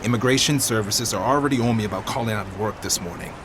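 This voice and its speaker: A man's voice: lowish voice, quick pace, noisy background, lightly acted. An actor playing a weary, worried adult man, a struggling comedian, in a radio drama. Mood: weary, exasperated.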